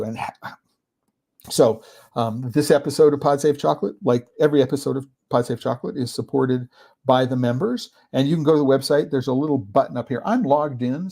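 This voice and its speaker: A man hosting a podcast, giving an informal explanatory talk in a halting way, searching for words and often checking in with the listener. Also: mid-range voice, warm tone. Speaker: podcast host.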